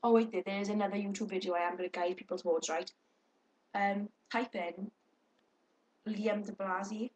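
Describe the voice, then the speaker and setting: A woman's voice: mid-range voice, steady tone, moderate pace, pauses often, darkish tone, low-quality recording. A young woman chatting casually to her webcam, swearing freely and talking to viewers. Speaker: vlogger.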